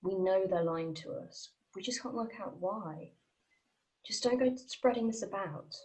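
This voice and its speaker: A guarded, secretive woman played by a woman in a dramatic table read. She speaks seriously and with measure, keeping a middling pitch, like someone keeping a small group in line. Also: a little slow, a little mellow, close to an everyday voice.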